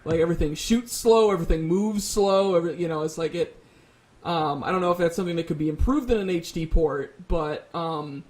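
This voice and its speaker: A man's voice: fairly high pitch, brisk, darkish tone. A man moderating a casual panel discussion, working through his points with hedges and restarts.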